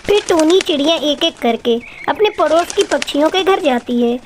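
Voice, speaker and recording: A woman's voice: sits high, animated, moderate pace, a little hiss or hum. A woman reading scripted narration for a children's cartoon story. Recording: faint music behind.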